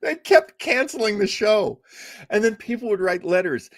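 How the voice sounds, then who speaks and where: A man's voice: high-pitched, lively intonation, normal speed, no room sound, studio quality. A man hosting a casual live stream, talking in an enthusiastic, rambling way and acting out bits of conversation. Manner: enthusiastic, rambling, acts out quotes. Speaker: livestream host.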